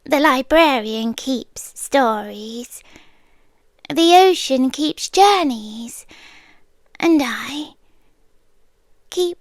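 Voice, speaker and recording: A high, soft British female voice, a woman acting as an otherworldly keeper of maps in an ASMR roleplay. She is whimsical and knowing, speaking extremely slowly and often sinking into a breathy whisper. The sound is close and dry. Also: lively intonation, no echo, heavily acted. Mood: hushed, mysterious. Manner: slow, deliberate, musing, with dramatic pauses.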